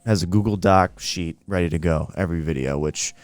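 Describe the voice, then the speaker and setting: Male podcast co-host, very low pitch, dry close sound, easygoing informal delivery, unscripted conversation. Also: brisk, no echo. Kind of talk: casual unscripted chat.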